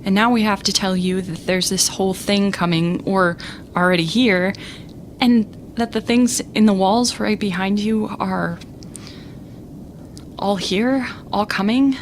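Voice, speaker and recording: A woman playing a scared young student captain in an audio drama, self-doubting but determined, speaking in halting bursts. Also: medium pitch, a little hiss or hum, lightly acted. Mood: stunned, anxious. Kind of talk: audio drama monologue as a recorded message.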